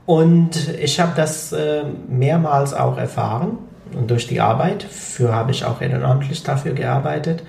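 Gravelly-voiced man. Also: medium pitch, a little slow, darkish tone, a little hiss or hum. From Pakistan. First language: Punjabi. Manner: hesitant, many fillers, explanatory. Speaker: guest. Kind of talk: conversational interview. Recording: echoey room.

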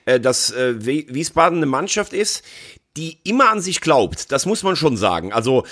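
A man giving his views as a pundit in a casual football talk, animated and assured. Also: medium pitch, moderate pace.

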